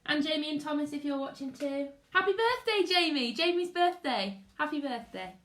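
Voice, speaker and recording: A woman's voice: high-pitched, animated, moderate pace, a bit bright. A British woman, a primary school teacher, chatting casually on a livestream and greeting viewers in a chirpy, sing-song way. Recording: roomy, echoey room sound.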